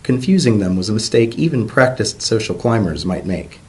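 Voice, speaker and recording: A man narrates a story in a dramatic audiobook style. His voice sits on the low side and swings widely in pitch. The delivery is measured and expressive.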